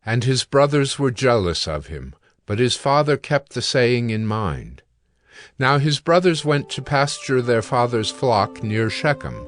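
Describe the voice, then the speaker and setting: A man reads as the narrator of a dramatised Bible audiobook. His mid-pitched delivery is measured and solemn, with soft music behind it. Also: big pitch swings, no room sound.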